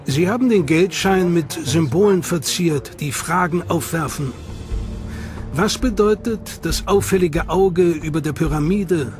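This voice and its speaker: Male documentary narrator, high voice, scripted, suspenseful, music loud behind. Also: a little slow. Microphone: studio mic. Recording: studio voice-over over loud music.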